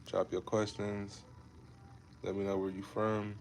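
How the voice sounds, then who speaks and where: A man's voice: fairly low pitch, completely flat delivery, measured pace, darkish tone, a little hiss or hum. A man in his twenties from Ohio, chatting casually with viewers on a fitness livestream, laid-back and repetitive, calling them bro and man. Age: 20s. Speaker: fitness creator hosting a livestream.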